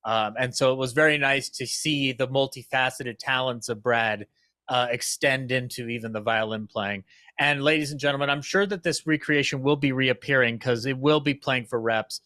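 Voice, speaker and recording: Guy hosting a casual chat, really enthusiastic, bright forceful voice, dry close sound. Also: mid-range voice, normal speed, no room sound. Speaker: host and producer of a radio show recreation.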